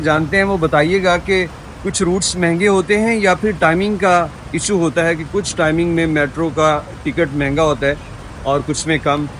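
A man's voice: on the high side, fairly bright tone. A man in his thirties with a Pakistani accent, chatting casually to viewers as a travel vlogger, filming himself on a phone. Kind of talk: casual vlog narration to camera. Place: busy restaurant and open train station. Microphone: phone at arm's length. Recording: noisy restaurant and street ambience.

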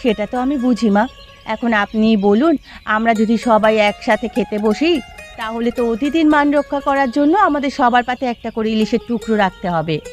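A woman's voice: a little high, brisk, faint music behind, natural voice. A woman voicing a dutiful, considerate young village daughter-in-law in a Bengali cartoon. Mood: earnest.

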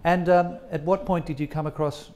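A man's voice: fairly high pitch, steady tone, fairly quick, darkish tone. An older man with an Australian accent, interviewing guests on stage as the host of an evening event.

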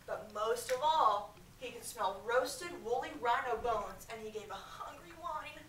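A middle-aged American woman, a schoolteacher, reading a storybook expressively to her class and pausing to ask questions. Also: on the high side, expressive, a bit bright. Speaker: elementary school teacher. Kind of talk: storybook read-aloud to a class. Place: medium-sized school classroom, indoors. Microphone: far camera. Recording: echoey classroom, distant mic, faint background noise.

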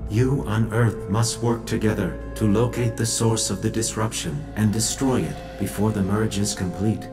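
An actor playing a calm alien council sage in a video game, speaking in a serious, formal, measured manner. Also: male voice, fairly low pitch, close to an everyday voice. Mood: urgent, instructing. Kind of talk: video game cutscene acting. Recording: game music behind voice.

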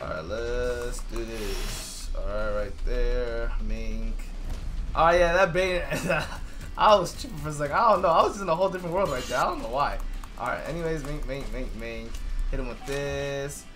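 A young man giving loose, excitable commentary over his own gameplay, swearing freely and going hoarse from losing his voice. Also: mid-range voice, moderate pace, music in the background. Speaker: gaming YouTuber.

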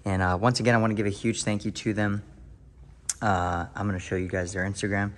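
Hyped man with a lowish voice. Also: little pitch movement, brisk. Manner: upbeat, hyped, talks to viewers as y'all. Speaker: realtor touring a house. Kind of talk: casual house-tour vlog turning to preaching. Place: empty new house, then its covered back patio.

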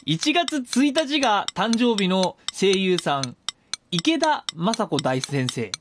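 A man's voice: sits high, very animated, slow, noisy background. A man around thirty hosting a podcast, chatty and teasing, in comedic banter. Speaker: podcast co-host and quizmaster. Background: loud music.

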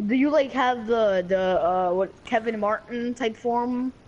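A young boy in fifth grade chatting over game voice chat, excitable and giggly, often stumbling over his words. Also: very high voice, measured pace, lo-fi sound, a little hiss or hum. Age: child. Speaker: online game player. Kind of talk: casual voice chat while gaming.

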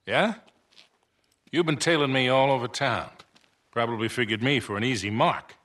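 An actor playing an unflappable middle-aged con man in a video game cutscene, husky, wry, needling and unhurried. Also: mid-range voice, fairly bright tone, lightly acted.

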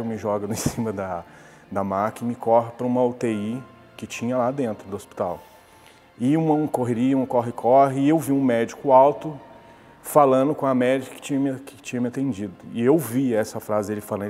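A man's voice: medium pitch, a little mellow. A man tells his story in an easy, conversational way, often restarting his sentences. His voice carries a long reverb from a hall, and faint music plays underneath. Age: 40s. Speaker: interviewee. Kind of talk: personal account in a TV interview. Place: glass-walled lobby, indoors.

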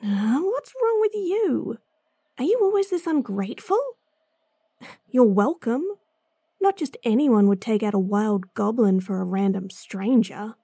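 A woman voicing a gruff, impatient female bounty hunter in a fantasy audio drama, blunt and sardonic, sighing often. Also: on the high side, animated, measured pace, dark tone, no room sound, studio quality, exaggerated voice. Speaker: voice actress.

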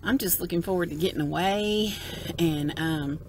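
A woman's voice: fairly low pitch, moderate pace, poor audio. A woman, chatting and laughing in a casual travel vlog, recorded on a phone held at arm's length inside a moving car. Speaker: vlogger. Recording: road noise from a moving car.

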